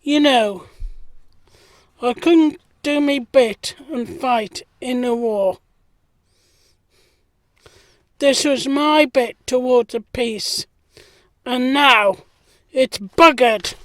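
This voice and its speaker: A man's voice: extremely high pitch, slow, a bit bright, no room sound, exaggerated voice. A man playing a mild-mannered gardener in a period audio drama. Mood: bitter, hurt. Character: mild-mannered gentlemanly gardener, proud, simple, in 1919.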